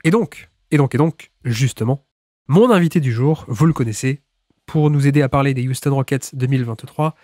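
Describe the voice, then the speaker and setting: A man's voice: medium pitch, normal speed, mellow, no echo, studio quality. A man with a cold hosting a basketball podcast, chatting through the introduction with restarts and small laughs. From France. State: has a cold. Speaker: podcast host.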